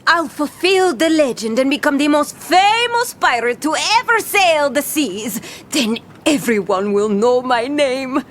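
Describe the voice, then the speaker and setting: A bold young pirate captain with a high, very animated voice. She swaggers and boasts in theatrical audio drama style, full of salty pirate talk. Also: a little slow, few pauses, slight background noise. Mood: boastful, wary.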